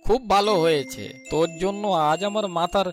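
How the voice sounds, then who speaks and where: A man's voice: sits high, a little fast, soft background music, close to an everyday voice. A man playing a timid, grumbling older villager in a cartoon, exclaiming and plaintive. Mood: pleading. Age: middle-aged. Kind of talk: animated cartoon dialogue.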